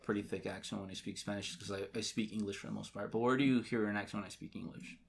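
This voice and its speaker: A man's voice: lowish voice, fairly even intonation, quick pace, creaky. A young man co-hosting a casual podcast, rambling with lots of fillers, recorded in a small living room. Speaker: podcast co-host. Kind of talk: casual chat.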